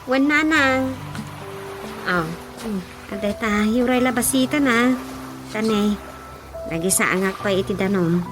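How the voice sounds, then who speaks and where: An actress playing a respectful, accommodating younger wife, polite and lilting, in an Ilocano radio drama comedy. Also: female voice, mid-range voice, unhurried, long pauses, close to an everyday voice. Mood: explaining. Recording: music behind the voice.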